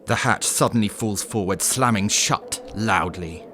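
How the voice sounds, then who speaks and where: A man narrating a scripted horror story in an ominous, measured storytelling manner. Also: on the low side, background noise, lightly acted.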